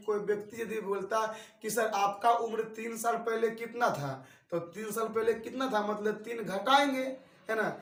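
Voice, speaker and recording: A young man in his twenties teaches math with a strikingly high voice. He keeps repeating each step and asking his students questions. He stands back from the mic in a roomy, echoing space. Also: a bit bright, recorded from a distance. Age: 20s. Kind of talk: classroom-style lesson.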